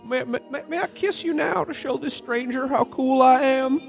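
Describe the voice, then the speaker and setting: Bashful naive everyman, acted by a man, stammering, halting, old-film radio parody, light music underneath. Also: very high voice, gravelly. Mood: bashful, eager. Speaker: podcast host playing himself. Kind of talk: parody of 1940s film acting in a radio play.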